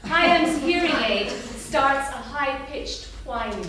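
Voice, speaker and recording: A woman's voice: high-pitched, animated, slow pace, a bit bright. A woman reading out stage directions as scripted narration at a staged reading. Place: theatre. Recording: very echoey, noisy hall from far off.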